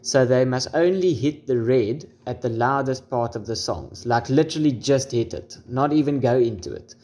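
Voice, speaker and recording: A man's voice: mid-range voice, animated, normal speed, a little mellow. A man in his twenties presenting a casual, informal how-to demonstration with wry asides, recorded on a camera microphone. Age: 20s. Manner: informal, wry joking asides and mild swearing. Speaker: tutorial presenter. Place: small room at home, indoors. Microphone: camera's built-in microphone at varying distance.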